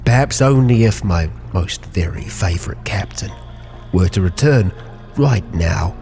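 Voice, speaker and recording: A man acts out a smooth-talking gangster dealer from a narrated game tale, all florid flattery and false friendship. His theatrical speech is fairly low-pitched, with music clearly playing behind it. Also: unhurried. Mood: oily, effusive.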